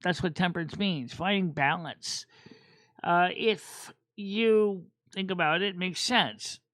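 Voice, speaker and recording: A man hosting a casual chat stream about tarot cards, talking in a rambling, halting way with many fillers and restarts. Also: high-pitched, a bit bright, no echo. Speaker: host.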